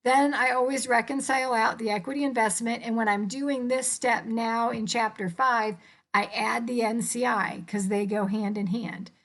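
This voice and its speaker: Female instructor, slightly high voice, informal and explanatory, walking through worked problems. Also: fairly even intonation, moderate pace, fairly bright tone. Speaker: accounting instructor.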